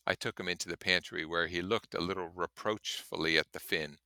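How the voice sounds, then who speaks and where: A man's voice: deep voice, steady tone, brisk, sharp tone, no echo, clean studio sound. A man reading a story aloud in an audiobook style, with casual commentary of his own. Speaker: reader and commentator.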